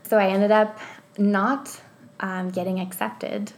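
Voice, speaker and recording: A woman's voice: mid-range voice, little pitch movement, slow pace. French-accented woman telling her story. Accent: Québécois French. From Quebec, Canada. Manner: chatty, many "like" fillers, storytelling. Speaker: clothing designer, guest. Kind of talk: conversational interview answers.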